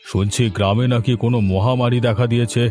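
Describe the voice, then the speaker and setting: A man's voice: mid-range voice, steady tone, brisk, a little mellow, faint music behind, close to an everyday voice. A male actor voicing a smug, commanding village boss villain in a cartoon. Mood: smug, scheming. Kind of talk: cartoon dialogue.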